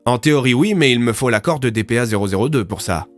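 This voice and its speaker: A man's voice: medium pitch, animated, fast, faint music behind, close to an everyday voice. A man voicing a reluctant, wary soldier in an audio drama, hesitant and deferential to his superior. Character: reluctant, wary young male soldier, a sergeant. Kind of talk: French audio fiction acting.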